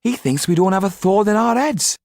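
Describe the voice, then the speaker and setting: A man voicing a cheeky, irreverent male servant with a mocking, animated manner. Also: high-pitched, brisk, no echo, studio quality, pitched above the performer's own voice.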